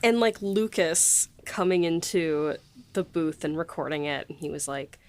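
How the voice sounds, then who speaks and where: A woman hosting a casual behind-the-scenes podcast chat, speaking effusively and quick to laugh. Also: low-pitched. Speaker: podcast host and audio drama writer.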